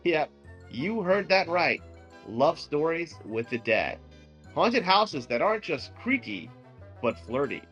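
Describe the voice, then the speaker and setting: A man's voice: fairly high pitch, very animated, slow pace, fairly bright tone, soft background music. A man reading a playful scripted promo as an announcer.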